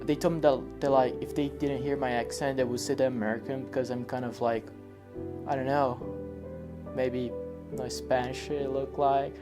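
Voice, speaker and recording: A man from Brazil, an exchange student talking haltingly in a documentary interview. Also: medium pitch, level tone, music in the background. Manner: hesitant, many fillers and restarts.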